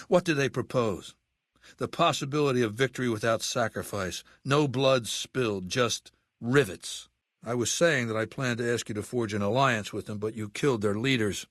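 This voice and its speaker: A man playing an aging, imperious warlord dictator in a video game, with a measured, commanding and menacing manner. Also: mid-range voice, fairly bright tone, dry recording, studio quality, natural voice. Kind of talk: video game character dialogue.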